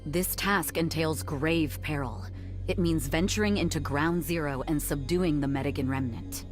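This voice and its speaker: A woman's voice: sits low, moderate pace, natural voice. A woman playing a resolute, protective female commander and guarded older sister in a video game cutscene, commanding and earnest with a teasing streak. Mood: determined. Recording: loud music behind the voice. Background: loud game music.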